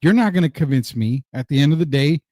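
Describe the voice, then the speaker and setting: A man chatting casually about sports on a podcast, relaxed and even-tempered, laughing often. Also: a little high, fast talker, no room sound, studio quality.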